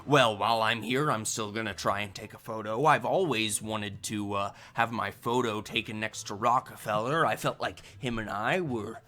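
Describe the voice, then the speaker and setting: A man's voice: on the low side, normal speed, hardly any pauses, bright, forceful tone. A man playing a jaded, entitled tour guest who complains, in a tabletop game. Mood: disgruntled.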